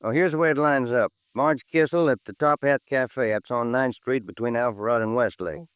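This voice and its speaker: A man's voice: medium pitch, a little fast, a bit bright, smooth, no echo, natural voice. An American man playing a folksy, plain-spoken police detective partner in a 1950s radio crime drama. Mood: businesslike. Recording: dry 1950s radio recording, faint music.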